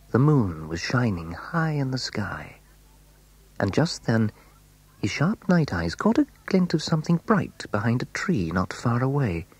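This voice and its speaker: A man narrating a children's story in a hushed, suspenseful, dramatic way. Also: on the low side, breathy edge, no room sound.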